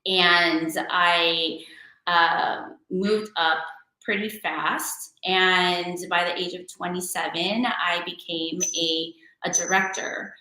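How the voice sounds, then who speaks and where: A woman in her forties talks as an interview guest, chatty and easygoing, with frequent fillers and restarts. Her voice is bright and forward. She sounds like she is on a video call in an echoey room. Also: on the low side, steady tone, takes it slowly. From San Francisco Bay Area, California. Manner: chatty and warm-hearted, many fillers and restarts. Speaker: guest entrepreneur and DJ. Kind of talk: casual interview chat.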